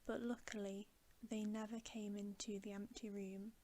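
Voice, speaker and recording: A woman reading a bedtime story in ASMR style, soft, hushed and often whispery, in a soothing, measured manner. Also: medium pitch, flat delivery. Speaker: ASMR storyteller, channel host.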